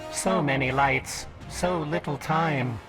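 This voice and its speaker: Slow, portentous man over loud music. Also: on the high side, low-quality recording. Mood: ominous. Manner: portentous, deliberate. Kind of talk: dramatic video game trailer acting.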